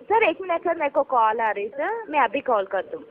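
A woman's voice: high-pitched, very animated, normal speed. A polite, deferential woman making a telemarketing sales call. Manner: polite, deferential, says sir constantly. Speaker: credit card telemarketer. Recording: tinny, noisy phone line.

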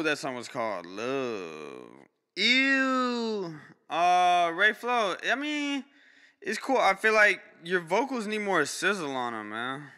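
A man's voice: bright, forceful tone, clean tone, big-room sound. A young man on a live music-review stream, giving blunt, slangy feedback to artists and swearing casually, recorded on a close studio mic in a small home studio. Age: young adult. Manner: blunt, slangy, swears, jumps high in pitch when reacting. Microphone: studio mic close up, headphones on.

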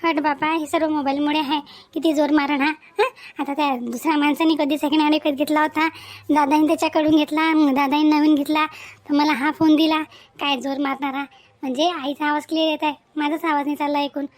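Cartoon village wife, female performer, very high pitch, dutiful and talkative, Varhadi Marathi accent. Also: little pitch movement, brisk, natural voice. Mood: frustrated, fretting. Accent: Maharashtrian, Varhadi Marathi. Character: cheerful dutiful young married village woman on the phone. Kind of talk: cartoon family drama dialogue.

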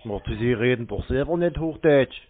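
A man's voice: mid-range voice, expressive, moderate pace. A man in a telephone conversation, answering tersely and asking blunt, obtuse, repetitive questions. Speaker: prank callee stringing along a scam caller. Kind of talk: phone conversation. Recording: phone line with music behind.

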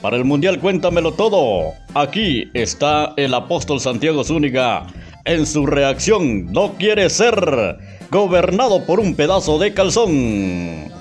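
A man introducing a video as channel host, with a lively promo delivery. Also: fairly high pitch, unhurried, soft background music.

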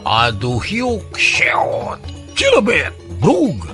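A man's voice: high-pitched, huge pitch swings, extremely slow, sharp tone, faint music behind. A man with a Sundanese accent narrating a folk tale as a dramatic oral storyteller.

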